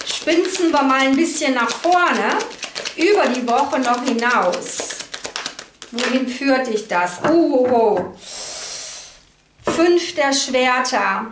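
A woman giving an unscripted card reading to the viewer, thinking aloud haltingly and adding small tag questions. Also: high-pitched, lively intonation, background noise. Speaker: tarot card reader, host. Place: indoor room at a table. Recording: roomy echo.

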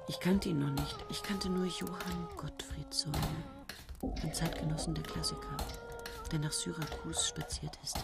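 Low-voiced woman narrating a radio play. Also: creaky. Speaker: first-person narrator.

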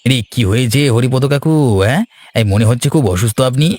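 A man's voice: medium pitch, brisk, darkish tone, a little hiss or hum, close to an everyday voice. A man voicing a curious, concerned village neighbour in a cartoon, full of questions, with music behind. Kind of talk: Bengali cartoon voice acting.

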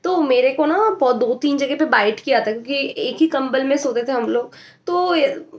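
A woman's voice: high voice, lively intonation, moderate pace, lo-fi sound. A woman in her twenties from India, chatting casually as a vlogger in an informal way, mixing in English. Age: 20s. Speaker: vlogger and gaming streamer. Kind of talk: casual vlog chat mixing Hindi and English. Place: small rooms at home. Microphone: phone held at arm's length.

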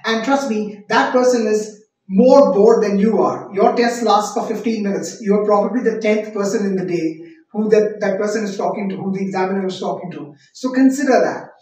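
A man in his thirties with an Indian English accent, coaching students for a speaking test in a conversational style with rhetorical questions. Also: sits high. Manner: conversational, animated hand gestures, rhetorical questions. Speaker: IELTS tutor. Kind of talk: direct-to-camera teaching. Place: small indoor room. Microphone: lapel mic. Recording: very echoey room, mic at a distance.

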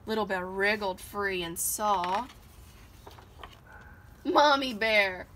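A woman reads a children's book aloud in an expressive storytelling style. Her voice is bright and mid-pitched. It is a home recording made outside, with steady ambient noise. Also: takes it slowly. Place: outdoors on a porch swing. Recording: noisy outdoor home recording.

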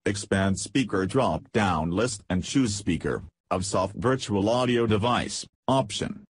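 A man reading scripted step-by-step software instructions in a measured way as a tutorial voice-over. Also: on the low side, animated, breathy edge, dry recording.

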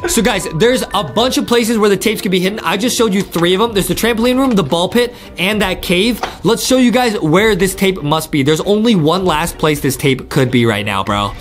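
High, excitable young man. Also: moderate pace, few pauses, soft background music. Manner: excitable, emphatic, addresses viewers constantly. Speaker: host of gaming videos. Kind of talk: hyped gameplay commentary for children.

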